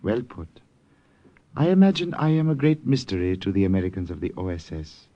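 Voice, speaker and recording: An actor in an old radio drama playing a courtly, enigmatic Siamese regent, speaking in measured, formal, eloquent phrases. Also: male voice, sits low, big pitch swings. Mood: proud, cryptic. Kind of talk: 1940s-50s radio drama acting. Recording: dull, lo-fi old radio recording.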